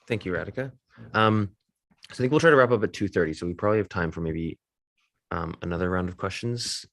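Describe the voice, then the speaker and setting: A man moderating a panel on a video call, relaxed with filler pauses. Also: low-pitched, dry recording.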